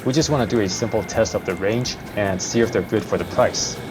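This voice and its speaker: A man's voice: fairly low pitch, fairly quick, over music, poor audio. A young man hosting a vlog, narrating a casual product review.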